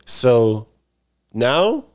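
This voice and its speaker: A man talking as a podcast guest in a relaxed, casual interview, often repeating his phrases. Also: mid-range voice, drawn-out words. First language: English. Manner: laid-back, repeats himself.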